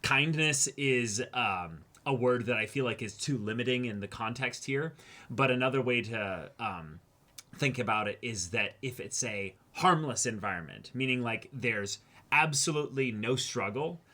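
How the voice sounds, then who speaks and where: A man's voice: mid-range voice, normal speed, pushed and bright. A man co-hosting a reaction show, talking through his analysis casually, wordy and emphatic as he thinks aloud. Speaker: co-host of an anime reaction show.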